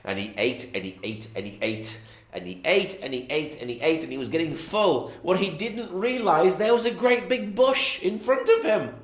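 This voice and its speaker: A man's voice: on the high side, expressive, normal speed, echoey room. A man narrating a children's Bible bedtime story, with repetitive, sing-song storytelling phrasing.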